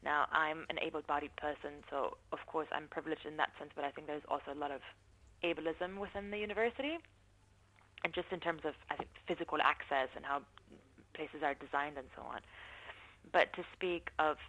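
A woman giving thoughtful, measured interview answers, often pausing to rephrase her points. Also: sits low, steady tone, fairly bright tone, rough recording. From not from New Zealand. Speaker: interviewee, university researcher. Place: New Zealand.

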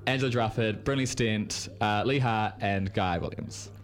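Rambling low-voiced man from New Zealand. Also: normal speed. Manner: enthusiastic and rambling, many "like" fillers. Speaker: radio guest reviewer. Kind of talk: casual radio chat. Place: radio studio.

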